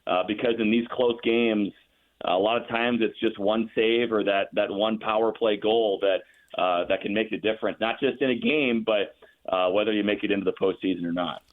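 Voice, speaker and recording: A man's voice: fairly low pitch, steady tone, moderate pace. A man calling in to a sports talk show, breaking down hockey in a thoughtful way and repeating words as he works through his points. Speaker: radio play-by-play announcer as guest. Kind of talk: casual sports talk, analytical. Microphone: phone call. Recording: tinny phone line.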